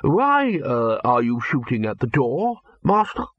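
A man's voice: medium pitch, wildly swooping pitch, a little slow, no room sound, muffled recording. A man reading an audiobook voices a nervous, fussy male college bursar who stammers and placates. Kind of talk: audiobook character voice.

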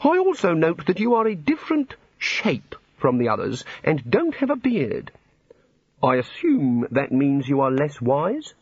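A man's voice: on the high side, wildly swooping pitch, unhurried, a little mellow, breathy edge, no echo, muffled recording, voice pushed up, heavily acted. A man voicing a fretful, testy old god who is eagerly curious and apologises in a hesitant, flustered ramble for an audiobook story.

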